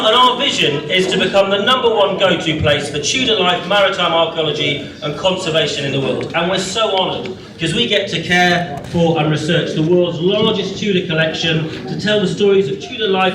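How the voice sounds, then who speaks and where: High-voiced man speaking in an echoey crowded hall. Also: far from the mic. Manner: proud, emphatic repetition. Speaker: museum spokesperson at a launch event. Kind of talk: formal speech. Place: crowded hall at an evening event. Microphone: recorder held toward the back of the room.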